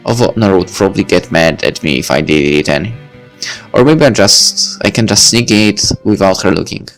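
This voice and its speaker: A man's voice: low-pitched, moderate pace, soft background music. A young man reading a visual novel aloud, adding wry, chatty asides. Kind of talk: reading aloud with off-the-cuff comments.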